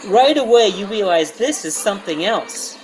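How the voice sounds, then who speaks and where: Excitable high-voiced man reviewing games. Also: normal speed, faint music behind, rough recording. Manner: excitable, emphatic, jokey. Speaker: video game reviewer. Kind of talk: comedic retro game review.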